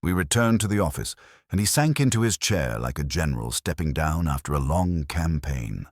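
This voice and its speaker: A man with an exceptionally deep, husky voice narrates a detective story. His pitch moves in lively swings as he reads, and the sound is dry and close to the ear. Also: moderate pace, dry recording. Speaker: audiobook narrator. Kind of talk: scripted first-person detective story narration.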